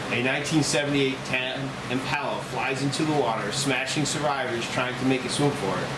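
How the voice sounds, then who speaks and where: A man reading screenplay scene descriptions and headings aloud as a narrator. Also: mid-range voice. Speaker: screenplay narrator at a table read. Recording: roomy, very noisy table read recording.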